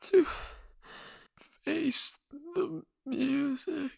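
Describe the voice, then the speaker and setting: A man acting as a dying, gunshot fugitive in an audio drama, bitter and dazed, his raspy voice weak and fading. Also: very high voice, very slow, fairly bright tone, no room sound, exaggerated voice.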